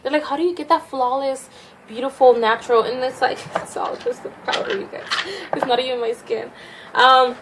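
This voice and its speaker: A woman's voice: sits high, fairly even intonation, moderate pace, noisy background. A young woman from Mexico with a Mexican accent, chatting through a makeup tutorial in a rambling, self-conscious way with many restarts. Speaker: makeup vlogger.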